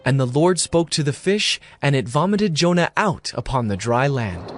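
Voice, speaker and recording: A man's voice: medium pitch, expressive, moderate pace, over music. A man giving scripted, dramatic narration for an audio Bible.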